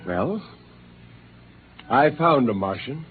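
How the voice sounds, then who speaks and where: A man's voice: mid-range voice, very animated, slow pace. An actor playing a bitter, defiant spaceman turned killer in an intense 1950s radio drama style. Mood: grave, persuasive. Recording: tinny, noisy old radio recording.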